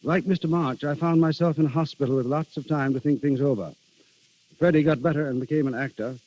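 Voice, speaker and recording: A man speaking as a guest writer in a scripted 1930s radio interview, formal and measured. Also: a little high, fairly even intonation, darkish tone. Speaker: guest playwright. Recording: muffled 1930s radio broadcast.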